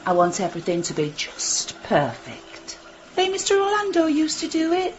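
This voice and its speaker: An actress playing a falsely polite, guarded hair salon owner in a radio drama, deliberate and evasive. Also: female voice, high-pitched, wildly swooping pitch, lo-fi sound, a little hiss or hum. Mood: sly, prim.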